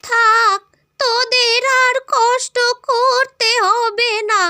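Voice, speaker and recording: A woman playing a stubborn, grumbling old village granny in a cartoon moral story, with a plaintive, drawn-out delivery. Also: extremely high pitch, fairly even intonation, pushed and bright, no room sound, studio quality, exaggerated voice. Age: older.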